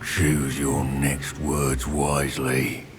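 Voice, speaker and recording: An actor playing a sardonic, imperious goblin villain in a video game, deliberate and threatening. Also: male voice, very deep voice, level tone, heavily acted. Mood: cold menace. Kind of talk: video game cutscene acting. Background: loud dramatic music.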